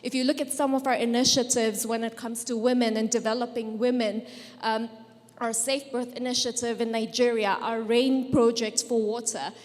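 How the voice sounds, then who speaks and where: A woman's voice: a little high, steady tone, moderate pace. A woman with a Kenyan accent, a native Swahili speaker, asking an articulate, probing question from the audience. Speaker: audience member. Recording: echoey conference hall.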